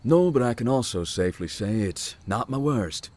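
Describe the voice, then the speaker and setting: A performer voicing a calm, guarded, deadpan believer in ghosts in a visual novel, speaking tersely. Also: mid-range voice, normal speed, lightly acted. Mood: dry.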